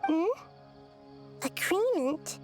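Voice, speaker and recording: A woman voicing a small, kind, earnest goddess girl in a video game, gentle and thoughtful. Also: very high voice, sing-song, drawn-out words, heavily acted. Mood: puzzled. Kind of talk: scripted video game voice acting. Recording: game music behind the voice.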